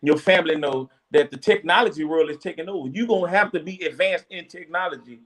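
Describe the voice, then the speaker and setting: A man holding forth on a casual podcast, earnest and a little preachy as he hands out advice to parents. His voice sits fairly high for a man. He circles back on himself with "you know what I'm saying.". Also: fast, fairly bright tone. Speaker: podcast co-host.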